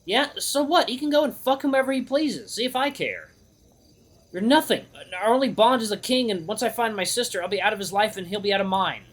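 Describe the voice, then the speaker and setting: A man's voice: sits high, lively intonation, brisk, a bit bright, pitched above the performer's own voice. A man voicing an anxious, stubborn young male hero in a visual novel, defensive and emphatic, repeating himself. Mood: defensive, upset.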